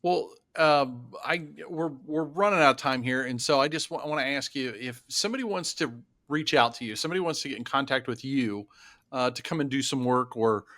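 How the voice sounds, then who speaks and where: A man's voice: mid-range voice, brisk, a bit bright. A man hosting an interview, giving brief acknowledgements and stammering slightly as he starts his questions. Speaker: podcast host. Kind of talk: conversational interview.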